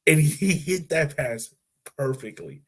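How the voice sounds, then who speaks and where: A man co-hosting a podcast, talking football in a loose, animated way with lots of fillers and restarts. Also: a little high, slow, slightly rough. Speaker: podcast co-host. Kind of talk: casual sports chat.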